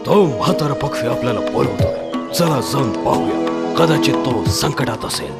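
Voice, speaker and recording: An actor playing a shrewd, courteous male heron who leads his flock in a children's animated fable. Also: medium pitch, normal speed, lightly acted. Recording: loud music under the voice.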